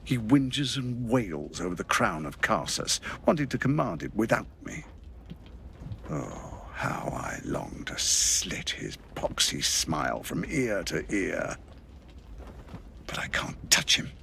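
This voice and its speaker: A deep, creaking, unnatural voice for a gloating fantasy assassin. It drags slowly, swooping through a wide range as it spits contempt. A faint hiss sits under the line. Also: sing-song, bright, forceful tone, exaggerated voice. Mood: venomous. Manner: sneering, venomous, gloating. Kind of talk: video game cutscene acting.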